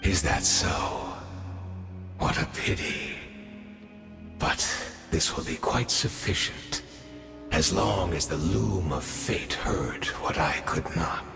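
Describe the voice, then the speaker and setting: A male actor playing a cunning, fervent exile in a video game, speaking in a measured, formal, theatrical way with dramatic pauses. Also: a bass voice, vocal fry, echoey room, long reverb tail, heavily acted. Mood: probing, wistful. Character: cunning, fervent exiled man scheming to restore his fallen homeland. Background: music.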